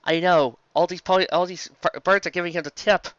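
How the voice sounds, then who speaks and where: A woman's voice: fairly low pitch, fairly even intonation, fairly quick, no room sound, highs cut off, voice pushed up, lightly acted. A woman voicing a gossipy, jealous talking mail bird from a cartoon, with a catty manner.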